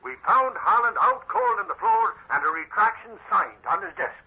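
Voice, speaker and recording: A blustery, husky newspaper reporter. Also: male voice, high voice, wildly swooping pitch, normal speed, full character voice. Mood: earnest, puzzled. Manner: blustery, folksy. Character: excitable, uneducated male newspaper reporter, blustery and folksy. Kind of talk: old-time radio crime drama acting. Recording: muffled old radio recording.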